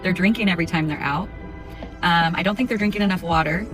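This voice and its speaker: A woman's voice: on the low side, fast talker, over music. A woman in her thirties giving her frank, firm opinion on dating. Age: 30s. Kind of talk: opinionated vlog monologue.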